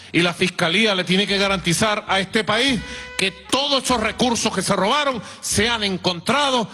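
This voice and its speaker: A man speaks as a political leader in a bright, forceful, slightly high voice. He is emphatic and repeats key words deliberately. Faint music runs behind the speech.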